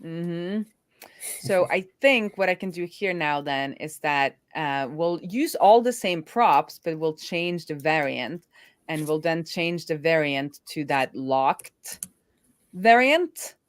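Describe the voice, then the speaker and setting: A woman's voice: sits low, lively intonation, slow, dry recording. A Norwegian woman with an American-sounding English accent, chatting casually while she codes on a live stream and thinking aloud with many fillers. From Norway. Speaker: developer co-hosting a live build stream. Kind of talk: casual live coding chat.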